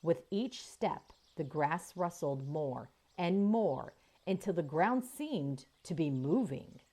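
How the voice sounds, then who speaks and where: A woman reading a children's chapter book aloud as the channel's narrator, in slow, expressive storytelling. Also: low-pitched.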